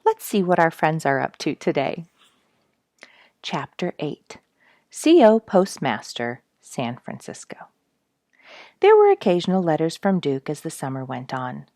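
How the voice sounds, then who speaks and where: A woman with a low-pitched voice reads aloud as a storybook host. Her phrasing is expressive and emphatic, addressed to listeners as friends. The sound is dry and close, with very little room in it. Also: unhurried, no echo. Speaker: host reading a book chapter aloud to listeners. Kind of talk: expressive children's storybook reading.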